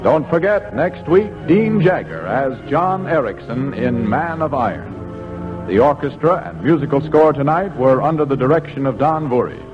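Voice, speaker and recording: A man's voice: medium pitch, expressive, moderate pace. A man with an American accent announcing formally in 1940s radio style. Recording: muffled old radio, music behind.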